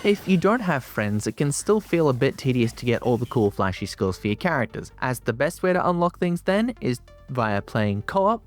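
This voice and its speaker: A man's voice: mid-range voice, very animated, moderate pace, hardly any pauses. Man narrating a scripted review over music. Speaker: video game reviewer. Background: music behind the voice.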